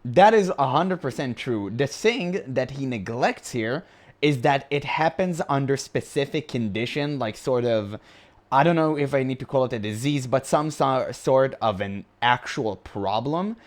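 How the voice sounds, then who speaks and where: Man with a high voice, blunt and mocking. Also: animated, unhurried. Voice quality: high-pitched voice, by his own account. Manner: blunt and mocking, laughs often. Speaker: reaction video host. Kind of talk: casual reaction commentary.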